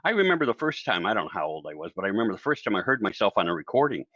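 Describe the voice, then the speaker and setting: A man teaching public speaking in a casual solo video, talking in a chatty, animated way and acting out the voices of the people he quotes. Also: fairly low pitch, rapid-fire, no echo, lo-fi sound. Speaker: speaking coach.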